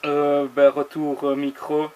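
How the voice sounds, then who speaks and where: A man with a Belgian French accent, an amateur radio operator, chatting casually and haltingly with repetitions. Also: on the high side, monotone, darkish tone. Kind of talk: casual ham radio chat.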